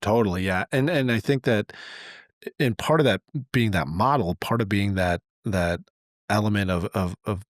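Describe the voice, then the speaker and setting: A man's voice: on the low side, normal speed, dry recording. A man co-hosting a podcast, telling stories conversationally in an enthusiastic, rambling way, repeating words for emphasis. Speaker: podcast co-host.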